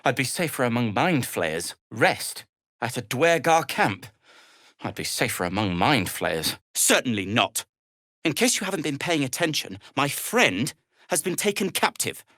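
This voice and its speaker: An actor playing an earnest, self-deprecating gnome adventurer in a video game, hesitant and wry, with formal, wordy phrasing. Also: male voice, medium pitch, very animated, a little slow, sharp tone, no room sound, clean studio sound. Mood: wry, prickly. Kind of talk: video game character dialogue.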